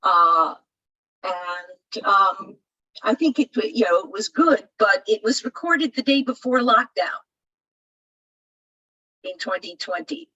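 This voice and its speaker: Woman, bright tone with a rough husky edge. Tentative, casual audience question from home on a video call. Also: medium pitch, lively intonation, lo-fi sound. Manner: halting, tentative. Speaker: audience member asking a question.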